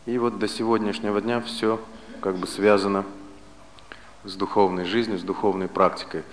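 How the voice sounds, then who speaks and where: A man in his late thirties giving a spiritual philosophy lecture, speaking deliberately in short, didactic phrases with pauses and repetition. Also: lowish voice. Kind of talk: lecture on Vedic philosophy to a live audience. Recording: reverberant hall, loud steady background noise.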